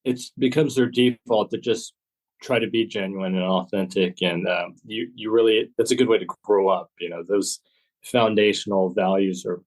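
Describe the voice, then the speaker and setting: A man from Texas, a former agent turned author, answering interview questions in a steady, measured way. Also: lowish voice, low-quality recording.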